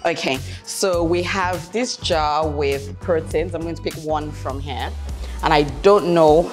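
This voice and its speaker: A woman's voice: deep voice, measured pace. A woman with a Nigerian accent hosting a cooking show, chatting casually in a kitchen. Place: studio kitchen, indoors. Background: music.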